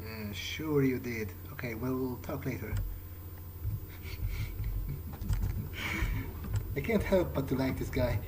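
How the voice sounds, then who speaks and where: A man with a medium-pitched voice roleplays in character while gaming. He talks conversationally and hesitantly, musing as he goes. Faint ambient game noise sits behind him. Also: slow, pauses often. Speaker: gamer playing in character.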